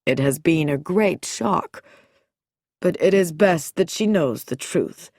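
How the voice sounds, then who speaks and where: A woman's voice: on the low side, expressive, slow, darkish tone, dry recording, studio quality, heavily acted. A woman voicing a watchful, cunning male doctor in a mystery story, formal and controlled. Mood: coolly sympathetic.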